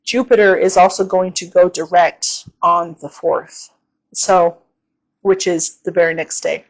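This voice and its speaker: A woman astrologer talks through her forecast at a slow, easy pace, her voice sitting low and sounding slightly hoarse and tired. She fills her pauses with "um" and keeps checking in with "okay?" like she's chatting with a friend. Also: steady tone, rough recording. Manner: unhurried, with frequent "um"s and "okay?" check-ins. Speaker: astrologer and channel host.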